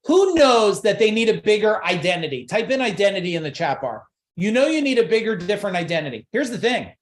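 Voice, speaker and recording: A man's voice: sits high, very animated, fast talker, roomy sound. A man coaching a live online seminar, pushing emphatic rhetorical questions and repeating his points. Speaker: business coach.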